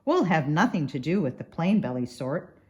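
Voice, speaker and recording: A snooty storybook creature, played by a woman reading aloud. The delivery is haughty and bragging, and the pitch swings widely. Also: sing-song, normal speed, a little mellow, dull audio. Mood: smug, snooty. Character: boastful, snooty star-bellied Sneetches, storybook creatures.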